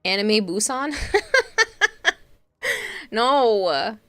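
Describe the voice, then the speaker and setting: A woman's voice: mid-range voice, expressive, extremely slow, fairly bright tone, clean tone. A young woman from Massachusetts with a Boston accent, chatting casually to viewers on a live stream. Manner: chatty, reassuring, reading chat aloud.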